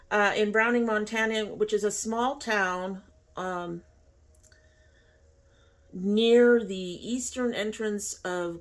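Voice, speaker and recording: Slow, gravelly-voiced woman with a stuffy nose. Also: medium pitch. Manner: chatty and informal, apologetic asides. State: stuffy, sniffling from sinus trouble. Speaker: host. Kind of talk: casual talk-through while doing makeup.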